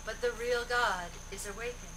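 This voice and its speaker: A woman delivering a spiritual discourse in deliberate, declarative short phrases, as a speaker relaying a teaching aloud. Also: on the high side, steady tone. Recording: distant mic, noisy amateur video.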